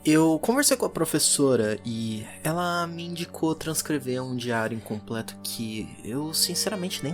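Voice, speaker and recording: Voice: medium pitch, close to an everyday voice. A performer with a Brazilian accent playing a weary, methodical occult archivist, musing hesitantly as they dictate an audio drama log. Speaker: narrator. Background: music.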